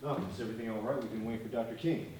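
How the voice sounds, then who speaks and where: An actor playing a young aide on stage, speaking in a hesitant, polite way. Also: male voice, medium pitch, fairly even intonation, racing, darkish tone, natural voice. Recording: echoey stage recording from far off, noisy.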